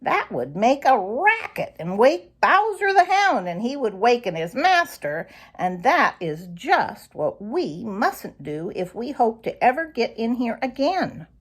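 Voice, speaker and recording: Old female fox, acted by a woman, granny, bossy, shrewd, snappish, scolding, animated pitch, storybook character. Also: unhurried, few pauses, slightly rough, exaggerated voice. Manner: snappish, commanding. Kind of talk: children's storybook read aloud.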